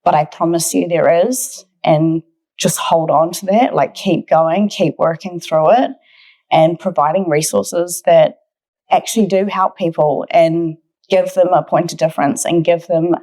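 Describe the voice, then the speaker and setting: Podcast guest: New Zealand woman, twenties, slightly low register, earnest, conversational personal storytelling. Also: fairly even intonation, unhurried, mellow. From Auckland, New Zealand. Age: 20s.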